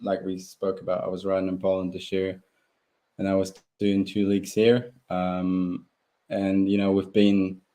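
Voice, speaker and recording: Deep-voiced German-native man, rambling. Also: completely flat delivery, moderate pace, dark tone. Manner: rambling, full of fillers and restarts. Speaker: guest speedway rider. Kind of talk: casual live interview.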